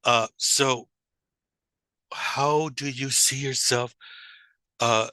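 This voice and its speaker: Bright, forceful man lecturing. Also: mid-range voice, no room sound. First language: English, also Spanish and German. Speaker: teacher trainer presenting. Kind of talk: informal online lecture.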